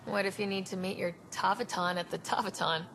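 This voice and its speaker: An actress playing a confident young team leader in a video game, wry and direct. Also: female voice, medium pitch, fairly even intonation, brisk, gravelly, fairly bright tone, slight background noise, rough recording.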